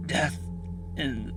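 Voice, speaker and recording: A man playing a feeble dying father in a radio drama, grave and resigned, speaking haltingly between coughs and throat clearing. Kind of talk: radio theater acting. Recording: loud music under the voice.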